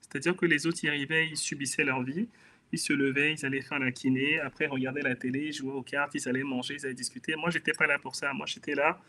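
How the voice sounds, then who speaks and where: A man's voice: a little high, level tone, fast, bright, forceful tone, a little hiss or hum. A man from Benin with a Beninese accent, telling his own life story in an earnest, reflective way as a guest in a casual interview. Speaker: guest, author.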